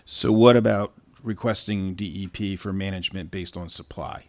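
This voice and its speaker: An American man, a council committee member, putting questions at a public meeting in a measured way, rephrasing as he goes. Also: sits low, steady tone, darkish tone.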